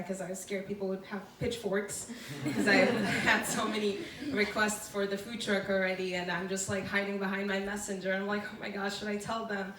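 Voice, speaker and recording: A young woman talks casually and earnestly to a crowd, often breaking into laughter. Her voice is mid-pitched and comes from a distance. The room is echoey, with faint ambience behind her. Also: fairly bright tone, a little hiss or hum. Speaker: food truck owner speaker. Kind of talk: casual public talk. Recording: roomy, distant from the mic, faint background ambience.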